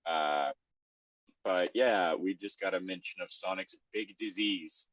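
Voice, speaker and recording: A man's voice: low voice, a little slow, a bit bright, rough recording. A man hosting a comedic read-along in a casual, bantering style. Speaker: host of a comedic reading show.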